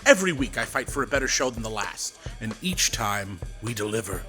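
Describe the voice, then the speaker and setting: An actor playing a domineering, theatrical radio director who speaks with sarcastic command in an audio drama. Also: male voice, fairly low pitch, very animated, brisk, sharp tone, soft background music. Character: grandiose, domineering male radio director, mid-career.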